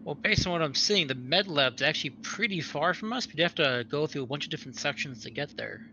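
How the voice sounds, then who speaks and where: Bright, gravelly man playing a tabletop game. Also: a little high, expressive, fairly quick. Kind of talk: casual game chat. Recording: dull audio, faint noise.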